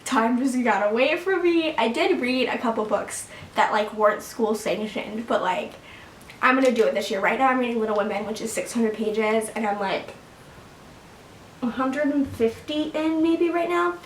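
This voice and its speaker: A teenage girl with a fairly high voice rambles casually to camera, full of fillers and quick laughs. She sits back from the mic in an echoey room, with a faint rumble underneath. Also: recorded from a distance. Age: teens. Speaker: vlogger. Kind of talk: casual sit-down chat to camera. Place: her room at home.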